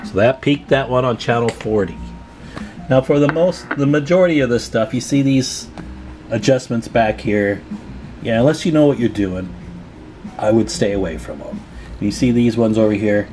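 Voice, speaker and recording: A man's voice: mid-range voice, normal speed, a little mellow, soft background music. A man presenting a hands-on repair tutorial in a casual, plain-spoken, advising way.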